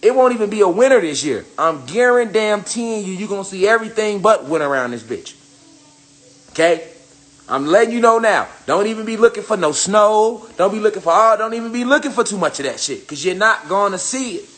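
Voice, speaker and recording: A man's voice: high voice, expressive, a little fast, fairly bright tone. Profane, preachy man ranting to camera. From United States. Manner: profane, preachy, emphatic repetition. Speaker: spiritual commentator. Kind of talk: casual rant to camera.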